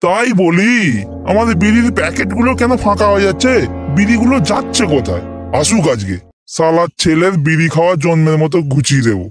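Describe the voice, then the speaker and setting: A man's voice: sits high, lively intonation, brisk, over music. A man voicing a stern, sarcastic, hot-tempered father in a comedy cartoon. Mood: puzzled. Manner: sarcastic, scolding, crude.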